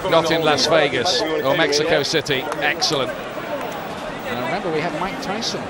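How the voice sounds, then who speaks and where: Boxing commentator over a roaring crowd. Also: male voice, on the high side. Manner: measured play-by-play, rising to excited shouting at knockdowns. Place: arena ringside. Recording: broadcast with loud crowd noise. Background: loud arena crowd.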